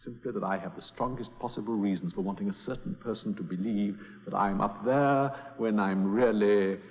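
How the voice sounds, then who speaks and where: An actor playing a brilliant, dryly amused male detective in a vintage radio drama, speaking in a measured, precise way. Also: fairly low pitch, natural voice. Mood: wry. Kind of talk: 1940s radio acting. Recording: muffled vintage radio recording with reverb.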